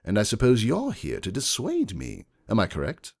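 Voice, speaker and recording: A man plays a shrewd aristocratic unicorn stallion narrating a dramatic fantasy story. The voice is very low with a gravelly creak, measured and refined in manner, with highly animated intonation. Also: exaggerated voice. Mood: calm, guarded. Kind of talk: first-person dramatic fan fiction narration.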